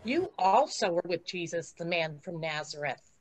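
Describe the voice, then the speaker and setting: A woman as a low-voiced, suspicious servant. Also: animated, moderate pace, natural voice. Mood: accusing. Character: suspicious, insistent servant girl and bystander. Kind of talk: amateur church dramatic reading. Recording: dull video-call audio.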